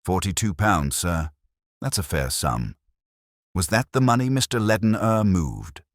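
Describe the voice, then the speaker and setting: A man's voice: low voice, big pitch swings, unhurried, no echo, clean studio sound, voice pushed up. A man voicing an anxious, glum police sergeant in an audio mystery, deferential and uncertain. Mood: uncertain, curious.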